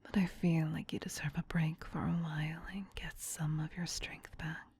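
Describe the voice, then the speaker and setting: A woman voicing a tender, possessive vampire queen in a soft, breathy, soothing ASMR whisper. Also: sits low, little pitch movement, slight background noise. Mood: gently affectionate. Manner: slow, doting, soothing.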